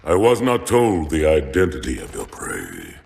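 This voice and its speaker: Game role: proud alien warrior commander, extremely deep, animated delivery, formal and grave. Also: male voice, gravelly, slight background noise, full character voice. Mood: grave, reflective. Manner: formal, grave, measured diction.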